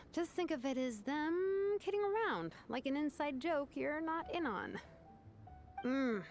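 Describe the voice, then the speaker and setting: Woman voicing chill sarcastic young officer girl in a game, highish, casual, lively intonation. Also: lightly acted. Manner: casual, wry, scathing. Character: laid-back, dryly sardonic young female vice captain. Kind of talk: video game voice acting.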